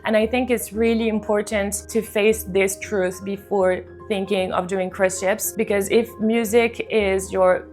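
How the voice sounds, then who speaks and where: A woman's voice: mid-range voice, fairly even intonation, a little slow, hardly any pauses, a little mellow. Chatty young woman over faint music. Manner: chatty, earnest, many "like" fillers. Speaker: vlogger, cruise ship musician. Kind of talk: casual talking-to-camera vlog.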